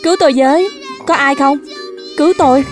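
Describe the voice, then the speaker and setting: A frightened little cartoon animal, acted out by a voice performer, pleading in shrill, very high cries. Loud music plays behind the voice in this children's dub. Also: fairly quick, lightly acted. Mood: panicked. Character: frightened small forest animal crying for help. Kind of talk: dubbed children's cartoon acting.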